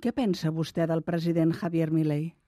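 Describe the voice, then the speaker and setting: A woman's voice: sits low, fairly even intonation, moderate pace, dark tone, no echo, studio quality. A woman interviewer asking direct, probing questions.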